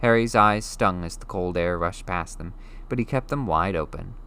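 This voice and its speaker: A man reading a novel chapter aloud as an audiobook narrator, with steady, clear storytelling. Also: sits low, normal speed. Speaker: audiobook reader.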